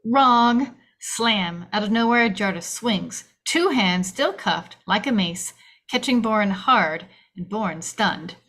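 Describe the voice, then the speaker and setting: A woman's voice: medium pitch, expressive, slow, a bit bright. A woman reading stage directions as dramatic screenplay narration, in clipped, punchy phrases.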